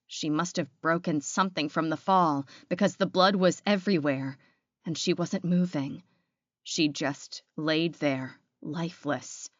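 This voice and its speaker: A woman's voice: low-pitched, steady tone, unhurried, no room sound, highs cut off. A woman reading a scripted audiobook, narrating dramatic fiction in the first person.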